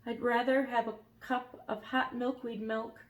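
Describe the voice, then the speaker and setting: A woman voicing a gentle, timid young male dragon who is tired, in high, breathless, pleading cries. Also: little pitch movement, measured pace, roomy sound, lightly acted. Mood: resigned.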